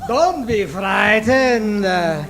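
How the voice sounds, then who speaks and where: An oily, lecherous stranger played by a man from a vintage radio serial. His voice is high and swoops about, slow and halting, with over-polite insinuation. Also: lively intonation, music in the background, heavily acted. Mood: creepy delight. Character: oily, lecherous stranger offering gifts to a lady. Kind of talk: old-time radio serial acting.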